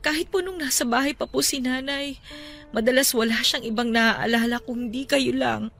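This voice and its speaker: An actress playing a gentle, respectful young adult daughter consoling her father in an emotional radio drama, earnest and pleading. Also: high-pitched, normal speed, creaky, heavily acted. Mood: gentle, sorrowful. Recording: music under the voice. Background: music.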